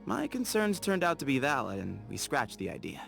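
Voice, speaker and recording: An actor voicing an easygoing, playful young explorer in a game, chatty and teasing, laughing easily. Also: male voice, medium pitch, huge pitch swings, fairly quick, gravelly, fairly bright tone, faint music behind, full character voice. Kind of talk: game character voice lines.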